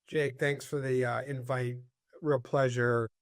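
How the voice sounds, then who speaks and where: A man's voice: mid-range voice, little pitch movement, unhurried, dry recording, clean studio sound. A man in his fifties from the Boston area, talking reflectively as a podcast guest and often checking in with "right?". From Cambridge, Massachusetts. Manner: reflective, tags points with "right?". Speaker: guest, former FBI agent and CEO. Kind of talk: conversational podcast interview.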